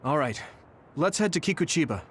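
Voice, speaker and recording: A man's voice: on the high side, expressive, brisk, a bit bright, a little hiss or hum. A man playing an earnest, straightforward young recruit in a video game. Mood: serious. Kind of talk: English video game voice acting.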